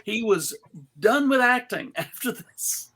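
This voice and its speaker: A man hosting a live table read, introducing people in a casual, joking, halting showman's manner. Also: very high-pitched, expressive, pushed and bright, breathy edge.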